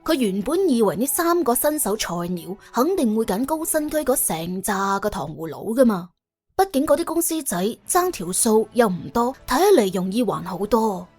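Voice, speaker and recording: A woman's voice: on the high side, very animated, normal speed, a little mellow, breathy edge, faint music behind. A woman with a Cantonese accent narrating a story in a dramatic, colloquial, humorous way. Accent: Hong Kong or Guangdong Cantonese. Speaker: audiobook narrator. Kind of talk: colourful scripted story narration.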